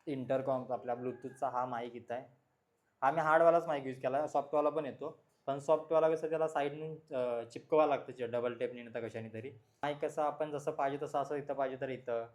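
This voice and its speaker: A man talks informally and repetitively to his viewers, explaining as he shows things, like a vlog host. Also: medium pitch. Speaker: vlogger, motorcycle channel host. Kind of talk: casual product showcase chat to viewers.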